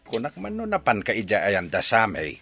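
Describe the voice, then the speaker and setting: A man playing a father in a comedy radio drama, speaking calmly and practically. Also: on the low side, normal speed, soft background music. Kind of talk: Ilocano radio drama acting.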